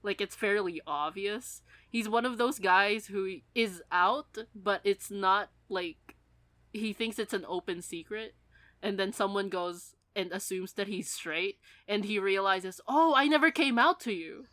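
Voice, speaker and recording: A woman co-hosting a podcast in a casual fan chat, animated and giggly, swearing freely. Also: medium pitch, unhurried, no echo. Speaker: podcast co-host.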